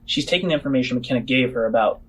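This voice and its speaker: A man's voice: mid-range voice, expressive, rapid-fire, slightly rough, muffled recording. A man narrating a tabletop roleplaying game as game master, improvising and making spoken sound effects.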